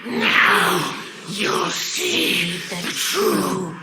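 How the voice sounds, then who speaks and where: A woman playing an evil sorceress possessed by a dark scepter, haughty, menacing and theatrical, for a video game. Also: lowish voice, drawn-out words. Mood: enraged, defiant. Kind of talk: video game villain acting. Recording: echoey, distant, noisy ambient effect.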